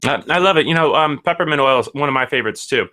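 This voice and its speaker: A man's voice: medium pitch, expressive, fast, bright, forceful tone. A man hosting a podcast, asking his guest questions with a few hesitant fillers. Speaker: podcast host. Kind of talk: interviewing a guest.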